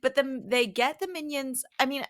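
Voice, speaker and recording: A woman's voice: high-pitched, moderate pace, fairly bright tone. A woman co-hosting a podcast, giving a casual, conversational recap in an informal, wryly amused way. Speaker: podcast co-host.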